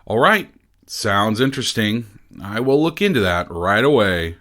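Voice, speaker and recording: A grumbling, foul-mouthed newspaper editor. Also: male voice, lowish voice, lively intonation, a little slow, fairly bright tone. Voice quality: muttering, swearing to himself. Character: gruff rude male newspaper editor.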